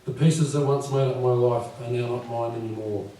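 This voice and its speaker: A man in his fifties with a croaky voice, reading his poems at a live event and speaking gently and unhurriedly. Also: mid-range voice, fairly even intonation, normal speed, a little hiss or hum. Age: 50s. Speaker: poet. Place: live venue with audience. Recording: echoey room from far off.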